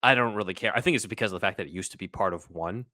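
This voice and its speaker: A man's voice: low voice, very fast, bright, forceful tone, dry recording, clean studio sound. A man co-hosting a podcast, talking casually in an informal, conversational way. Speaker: podcast co-host. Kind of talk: casual chat.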